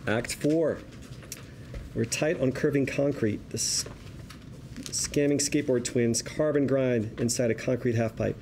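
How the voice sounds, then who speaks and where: A man's voice: medium pitch, fairly even intonation, a little slow, noisy background. A man reading stage directions as scripted narration at a table read.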